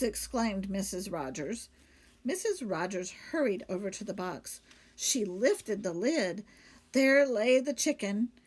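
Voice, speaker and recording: A woman teacher reading a children's story aloud, pausing to question and explain to young listeners. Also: fairly high pitch.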